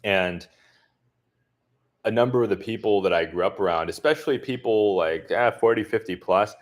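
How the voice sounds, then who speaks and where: A man from the southern US answering viewers' comments in a relaxed livestream chat, speaking with a deliberately neutral accent and many filler words. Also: lowish voice. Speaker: expat vlogger answering live chat. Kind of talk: casual livestream Q&A.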